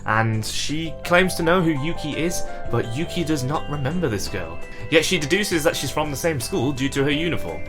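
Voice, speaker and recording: Animated male game reviewer over music. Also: on the high side. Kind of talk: casual, comedic review narration.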